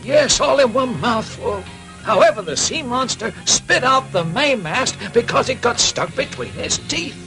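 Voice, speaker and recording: A man voicing a frail, loving old father in a cartoon dub, halting, tremulous and easily moved. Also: extremely high pitch, expressive, bright, forceful tone, faint music behind, exaggerated voice, rough recording. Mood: weary, recounting.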